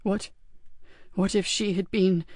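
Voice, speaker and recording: A woman voicing a badly wounded young male police sergeant who speaks weakly and haltingly. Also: mid-range voice, fairly even intonation, creaky, darkish tone, slightly rough, dry recording, studio quality. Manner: weak, halting.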